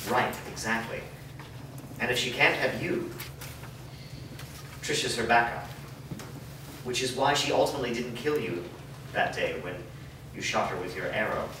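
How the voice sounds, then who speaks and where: A man's voice: mid-range voice, moderate pace, long pauses, natural voice. A man acting a weary, guilt-ridden blacksmith father in a staged fantasy drama reading. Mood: tense explaining. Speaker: actor. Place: echoey room, recorded from a distance at a staged reading. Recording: echoey room, distant mic, clear background noise.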